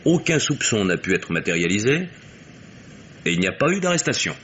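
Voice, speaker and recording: An actor playing a shrewd, self-assured investigator in an old French radio mystery, with a measured, probing, faintly ironic delivery. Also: male voice, lowish voice, sing-song, a bit bright. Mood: methodical, assured. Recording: dull, hissy old radio recording.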